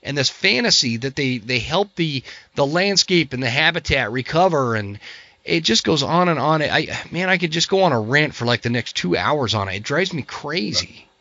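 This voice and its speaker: Hoarse man ranting with a dry, dull sound. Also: on the high side, sing-song, moderate pace, sharp tone, no room sound. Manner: heated, ranting, swears. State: hoarse from a cold. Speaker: podcast host. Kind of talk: casual interview chat. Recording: dry, muffled podcast audio.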